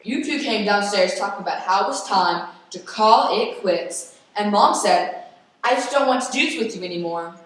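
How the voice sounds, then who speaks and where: A woman's voice: medium pitch, animated, normal speed, close to an everyday voice. Woman acting an earnest youngster, very echoey. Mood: accusing, recounting. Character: earnest youngster of a squabbling family. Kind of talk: radio play acting. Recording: echoey room, distant mic.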